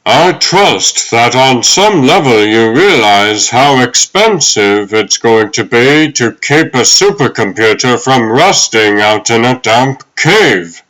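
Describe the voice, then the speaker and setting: Casting: male actor as wise old manservant, mid-pitched, unhurried, formal, fatherly. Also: lively intonation, a bit bright, poor audio. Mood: dryly caring. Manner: formal, measured, kindly. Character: wise, gentle ghostly old butler, fatherly. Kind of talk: comic dub acting.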